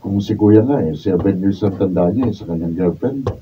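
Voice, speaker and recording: A man's voice: low voice, moderate pace, natural voice. A man playing a patient, joking young Filipino boyfriend in a radio drama. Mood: sincere. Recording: dull lo-fi, distant mic, faint noise.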